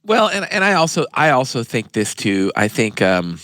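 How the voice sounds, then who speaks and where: A male pharmacist hosts a casual health chat in a mid-range voice. He tells stories with animated emphasis. The recording is dry and close. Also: medium pitch, normal speed, no echo. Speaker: pharmacist and podcast host.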